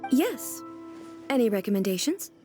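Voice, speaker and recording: A woman's voice: fairly high pitch, very animated, normal speed, over music. An actress playing a regal, composed female ruler in a video game, speaking formally with earnest, literal-minded curiosity. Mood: reassuring. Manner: formal, composed, puzzled by ordinary things. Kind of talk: video game character dialogue.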